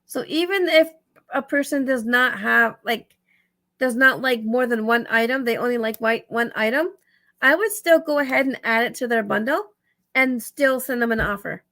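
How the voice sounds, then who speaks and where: A woman with a fairly high voice and some creak in it walks viewers through a task. She sounds raspy, tired and dry-throated. Her delivery is casual and rambling, with thoughts said aloud and corrected as she goes. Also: moderate pace, bright, forceful tone. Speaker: vlogger giving reselling tips. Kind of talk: casual screen walkthrough tutorial.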